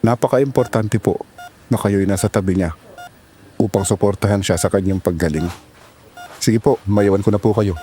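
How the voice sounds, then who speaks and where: A man acting in an audio drama as a calm doctor, formal, polite and measured. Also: fairly low pitch, lightly acted. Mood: calm, grave. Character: calm, formal male doctor delivering grave news.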